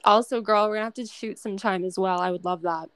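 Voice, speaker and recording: A woman's voice: medium pitch, steady tone, quick pace, smooth, no echo. A young American woman with at most a faint Massachusetts accent, hosting a casual chat in an effusive, encouraging, informal way. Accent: American, only faintly Massachusetts. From United States, Massachusetts. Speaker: podcast host.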